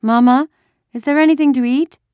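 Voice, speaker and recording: A woman's voice: high voice, fairly even intonation, moderate pace, darkish tone, clear, resonant tone, dry recording, clean studio sound, pitched above the performer's own voice, lightly acted. A woman voicing a sensible schoolgirl, an older sister in a storybook, who explains and reassures. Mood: asking.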